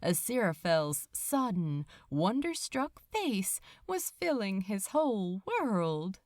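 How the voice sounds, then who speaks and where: A woman narrating fiction from a script in an expressive, dramatic storytelling manner. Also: medium pitch, slow, no room sound, studio quality. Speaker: podfic narrator.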